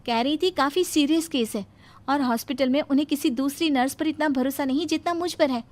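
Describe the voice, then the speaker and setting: A woman's voice: sits high, brisk, lightly acted. A woman voicing a self-assured, headstrong young pregnant nurse in a cartoon moral story. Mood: confident, reassuring.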